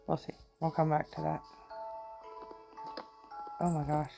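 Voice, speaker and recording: A woman's voice: deep voice, monotone, a little slow, vocal fry, over music. A middle-aged woman with a country accent, chatting and giggling to herself as she plays a game. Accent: Southern US country. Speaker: gamer. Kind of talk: casual gameplay commentary.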